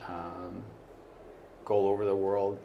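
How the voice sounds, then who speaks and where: A middle-aged man born in Portugal and raised in Canada, a bar owner chatting in an interview, rambling and halting, often trailing off quietly. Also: low voice, level tone, gravelly, phone-quality sound. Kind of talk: casual interview chat. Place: small bar. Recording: noisy bar ambience behind.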